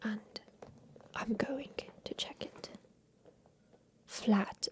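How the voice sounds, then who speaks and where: A woman playing a tender, ailing inventor of a mechanical creature in a soft, whispered ASMR roleplay. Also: medium pitch. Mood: gently fussing. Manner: slow, gentle, soothing, with pauses.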